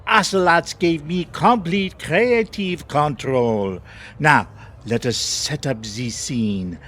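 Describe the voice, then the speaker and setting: An actor playing a pompous, exasperated director with a French accent in an audio comedy. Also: male voice, a little high, slow pace, fairly bright tone. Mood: bossy, grand. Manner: pompous, theatrical. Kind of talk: audio theatre comedy acting.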